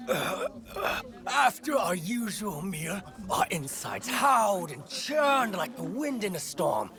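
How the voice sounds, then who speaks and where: A woman's voice: mid-range voice, very animated, takes it slowly, a crowd in the background. A woman playing a hostile, bitter villager in a video game, sneering and blustering. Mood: accusing. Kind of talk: video game cutscene acting. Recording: clear game music behind.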